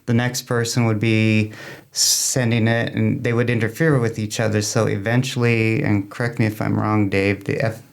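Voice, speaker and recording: A man's voice: fairly low pitch, level tone, normal speed, vocal fry. A man speaking as a guest in an interview, explaining things informally in a plain-spoken way. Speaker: guest, amateur radio club member.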